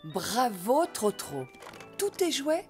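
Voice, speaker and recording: A woman playing a gentle, patient mother donkey in a French children's cartoon, soft and encouraging. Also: fairly high pitch, big pitch swings, slow pace. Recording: music behind the voice.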